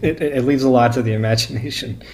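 A man as a podcast guest giving casual critique, halting and repeating words as he goes. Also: medium pitch.

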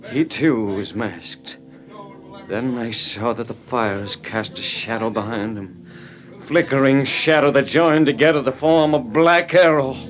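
An actor playing an earnest army major undercover as a gunfighter, telling his story gravely in an old-time radio drama. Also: mid-range voice, measured pace, close to an everyday voice. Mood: grave, weary. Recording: noisy vintage radio recording.

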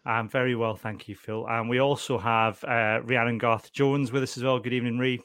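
A man's voice: medium pitch, fairly even intonation, fairly quick, fairly bright tone, smooth, no room sound. Chatty man hosting a podcast. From Scotland. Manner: chatty, jokey, many restarts. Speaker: podcast host. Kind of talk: casual podcast chat.

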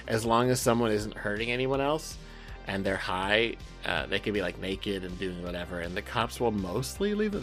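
Californian man with a very lively pitch. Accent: Californian American. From Southern California, USA. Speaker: podcast co-host. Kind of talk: casual podcast chat.

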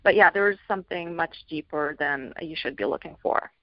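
Middle-aged woman from Bulgaria, hesitant, lots of ums, lowish voice, dull lo-fi sound. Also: a little fast, no echo. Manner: hesitant, many ums, laughs softly. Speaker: guest, children's author. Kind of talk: casual interview talk. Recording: dull lo-fi audio.

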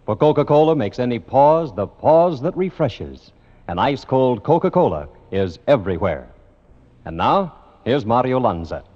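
A man announcing a 1950s radio show, reading the sponsor's message with smooth, upbeat sales delivery. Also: medium pitch, big pitch swings, moderate pace. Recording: dull old radio transcription with music.

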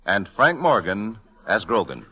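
A man's voice: fairly low pitch, sing-song, measured pace. A man announcing a 1940s radio drama in a scripted introduction. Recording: vintage radio recording, loud music.

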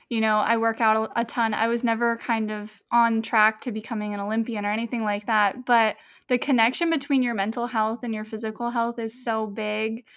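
A woman's voice: on the high side, fairly bright tone, clear, resonant tone. A woman in her twenties hosting a podcast interview, friendly and upbeat, talking casually with fillers like "like" and "you know". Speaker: podcast host.

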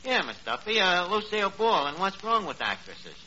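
Gullible New York barkeep, old noisy radio. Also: male voice, sits high, very animated, normal speed, bright, forceful tone, exaggerated voice. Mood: exasperated. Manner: ungrammatical street talk with pompous airs. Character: gullible, self-important working-class New York barkeep Archie. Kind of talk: 1940s radio comedy acting. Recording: muffled, noisy 1940s radio recording.

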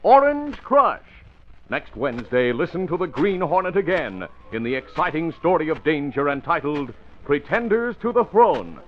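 Old timey radio announcer guy, swoopy lively pitch, punchy and polished, muffled sound. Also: normal speed. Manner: punchy, emphatic, polished delivery. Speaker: announcer and narrator. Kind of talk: old-time radio announcing, scripted narration. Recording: muffled vintage radio broadcast.

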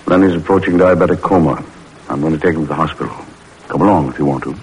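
An actor playing a sober, kindly doctor who gently reassures, in a 1940s radio drama. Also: male voice, rumbling low, fast, husky, exaggerated voice, low-quality recording. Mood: grave. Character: grave, kindly doctor consoling a patient's mother. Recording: old radio recording under loud music.

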